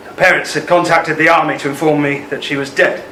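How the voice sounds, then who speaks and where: Man acting a haunted ex-army husband, forceful, roomy sound. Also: on the high side, fairly even intonation, quick pace. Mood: haunted, bitter. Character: older ex-army husband haunted by a lost love, regretful. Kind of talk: amateur radio play acting. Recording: roomy, distant mic, faint noise.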